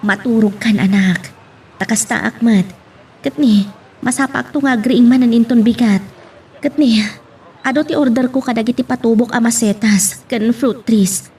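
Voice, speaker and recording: A woman's voice: on the high side, normal speed, a little mellow, husky, recorded from a distance. A woman playing a hurt, protective single mother in an emotional Ilocano drama, with an Ilocano accent. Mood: brisk, motherly. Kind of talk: Ilocano radio-style love drama acting.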